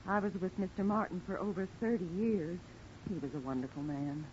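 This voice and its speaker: An actress playing a prim, hesitant elderly housekeeper, formal and disapproving, in an old-time radio drama. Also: female voice, on the low side, lively intonation, a little fast, lightly acted. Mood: flustered, formal. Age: older. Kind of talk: 1940s-50s radio acting. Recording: noisy, muffled old radio recording.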